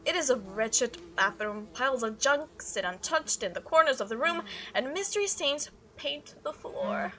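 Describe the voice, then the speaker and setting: A woman hosting a gaming video, giving playful, expressive commentary and reading narration aloud over game music. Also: high voice, normal speed, hardly any pauses, low-quality recording. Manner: expressive, animated.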